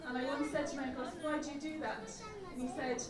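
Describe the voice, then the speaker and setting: A woman's voice: high-pitched, level tone, fast. Woman speaking in an echoey hall. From Ireland. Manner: earnest storytelling with frequent ums and uhs. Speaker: community group chair giving a speech. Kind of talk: public speech at a community event. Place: large echoey event hall. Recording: echoey hall from far off with crowd.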